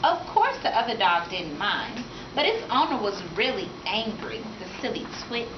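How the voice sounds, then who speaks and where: A woman voicing a cheerful, outspoken, warm-hearted young girl, chatty and childlike, in a storybook read-aloud. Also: on the high side, very animated, moderate pace. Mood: chatty, frank. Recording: echoey room from far, noisy, dull.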